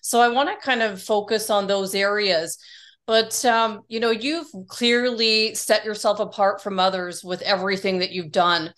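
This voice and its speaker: A woman's voice: medium pitch, fairly even intonation, normal speed, fairly bright tone. A woman hosting a podcast, reading a polished introduction and then asking her guest questions with enthusiasm. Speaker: podcast host.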